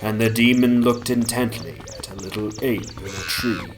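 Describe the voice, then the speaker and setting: A man narrating a gothic tale as a dramatic reading, with solemn, measured literary delivery. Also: on the low side, background noise. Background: music.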